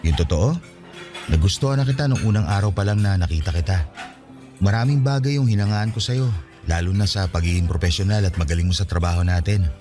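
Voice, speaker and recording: An actor playing an earnest young man who gently and sincerely asks a coworker for a date. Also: sits low, a little fast, music in the background, natural voice. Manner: sincere, gentle, openly affectionate. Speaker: radio drama actor. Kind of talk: radio drama acting.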